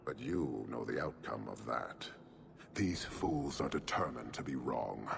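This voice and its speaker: A man's voice: expressive, a little slow, heavily acted. A man playing a stern, contemptuous giant warrior leader in a video game, speaking formally and tersely with menace. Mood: cold disdain. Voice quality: very deep, gravelly. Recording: clearly noisy ambient background.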